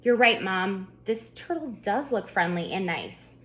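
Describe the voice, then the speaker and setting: A woman voicing a spunky, excitable seven-year-old girl in a children's storybook, with an eager way of talking. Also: mid-range voice, unhurried. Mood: pleased. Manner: eager, exclaiming, pondering aloud. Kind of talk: children's storybook read-aloud.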